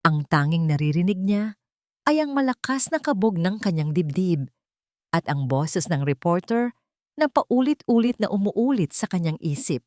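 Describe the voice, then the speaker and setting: Casting: female storyteller, slightly low voice, dramatic scripted romance narration, very dry close recording. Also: big pitch swings, moderate pace, a little mellow, no room sound.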